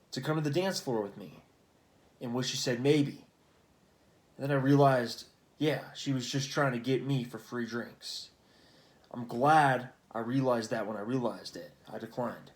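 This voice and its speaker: A young man in his twenties speaks softly at home after dark, keeping his voice down so the house stays asleep. His talk is casual and slangy, with swearing sprinkled through it. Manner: kept low and hushed, as others in the house sleep. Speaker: vlogger. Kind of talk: casual storytelling vlog. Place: a house at night.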